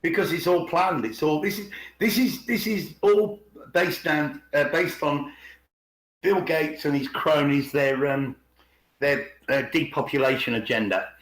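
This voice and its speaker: A man's voice: fairly high pitch, unhurried, a bit bright. A middle-aged man in casual, opinionated discussion on an online call, rephrasing himself emphatically as he goes. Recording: roomy, echoey online call.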